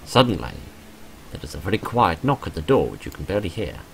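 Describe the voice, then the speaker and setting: A man's voice: deep voice, very animated, quick pace, gravelly, slight background noise, rough recording. A man hosting a visual novel playthrough, reading the story text aloud and adding his own casual asides.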